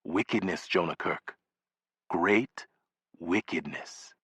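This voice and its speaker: A man's voice: medium pitch, sing-song, brisk, a bit bright, no room sound. Man doing a stiffly formal, courteous older man. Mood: grave. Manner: stiffly formal, careful speech.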